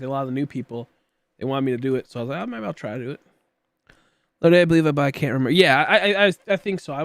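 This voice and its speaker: A man in his late twenties chats loosely with his viewers and a friend during a game stream, wandering between topics and poking fun at himself. His voice sits a touch high for a man. The sound is close and dry, with no room around it. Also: no echo. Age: 20s. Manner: rambling, self-deprecating, chatting with viewers and a friend. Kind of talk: casual livestream chat while gaming.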